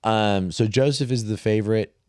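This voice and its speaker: A man with a fairly low voice co-hosts a podcast in relaxed, wandering chat. He circles his points with lots of "like"s and restarts sentences. The sound is dry and close. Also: normal speed, no room sound. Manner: rambling, with many "like"s and trailing restarts. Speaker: podcast co-host. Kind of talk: casual chat about Bible stories.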